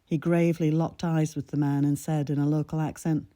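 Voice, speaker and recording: A woman in her sixties from Scotland, with a mid-Atlantic accent carrying a Scottish lilt, reading her own personal essay in a measured, reflective way. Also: low voice, level tone, warm tone, no echo, studio quality. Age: 60s.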